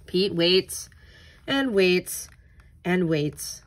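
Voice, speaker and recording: Slow-paced woman reading to children. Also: fairly low pitch, expressive. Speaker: tutor reading a picture book and leading a craft. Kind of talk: children's read-aloud and craft instruction.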